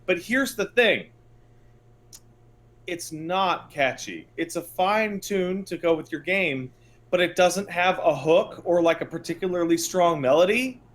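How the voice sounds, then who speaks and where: A man with a fairly high voice talks casually as a podcast co-host. He rants in an emphatic, exasperated way and leans on hyperbole to make each point. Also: animated, unhurried, a bit bright. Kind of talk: casual podcast chat, ranting commentary.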